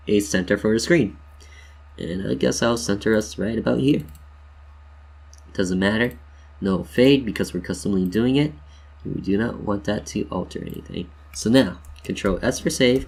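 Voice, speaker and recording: A man hosting a tutorial in a casual, playful way, talking viewers through each step as if chatting with them. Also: on the low side. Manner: playful, jokey, addresses viewers as "you guys". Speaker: tutorial host. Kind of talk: casual instructional screen-share narration.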